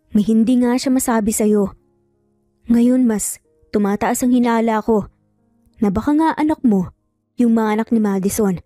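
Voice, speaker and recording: A woman's voice: a little high, warm tone, no room sound, clean studio sound. A woman voicing an inquisitive male best friend in a romance story, nosy and speculative, with music behind. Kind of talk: Tagalog romance drama storytelling. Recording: music bed under the voice.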